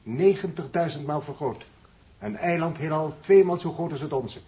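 Actor as precise, knowledgeable spaceship astronomer. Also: male voice, a little high, moderate pace, dark tone, slight background noise, close to an everyday voice. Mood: sober, factual. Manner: precise, explanatory. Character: male spaceship astronomer, factual, knowledgeable, good-humoured crewman. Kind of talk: 1960s Dutch radio drama acting.

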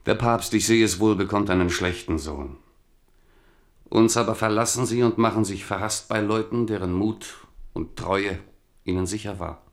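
Low-voiced aggrieved old-world soldier-poet played by a man. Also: moderate pace, natural voice. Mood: bitter, reproachful. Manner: declamatory, formal address. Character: aggrieved loyal soldier-poet, devout, blunt, melancholy. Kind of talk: German radio drama acting.

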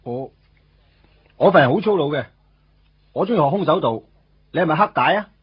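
An actor playing a lovesick, self-deprecating teenage schoolboy in a radio drama, confiding and wry, in Hong Kong Cantonese. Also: male voice, a little high, animated, brisk, slightly rough. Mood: awkward, defensive. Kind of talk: radio drama narration and dialogue. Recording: dull lo-fi radio recording with music.

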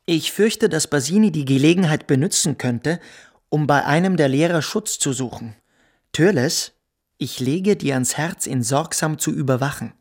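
An actor playing a scheming, commanding boarding-school pupil in a radio drama, speaking in a formal, insistent, conspiratorial way. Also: male voice, fairly high pitch, moderate pace, breathy edge. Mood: urgent, conspiratorial.

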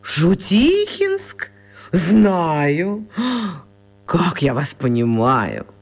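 A woman's voice: fairly low pitch, big pitch swings, very slow, heavily acted. An actress playing a chatty, eccentric elderly woman pensioner with a genteel, coquettish manner in a children's radio play. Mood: warm, curious.

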